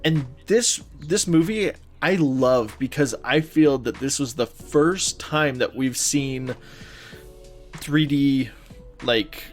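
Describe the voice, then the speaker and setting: A man co-hosting a podcast, chatting casually and a little haltingly, often feeling his way through his thoughts. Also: mid-range voice, faint music behind. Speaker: podcast co-host. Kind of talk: casual chat about movies and video games.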